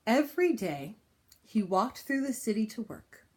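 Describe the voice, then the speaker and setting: A woman reading a children's picture book aloud in an expressive style, with deliberate, dramatic phrasing and pauses. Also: on the high side. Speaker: storybook reader.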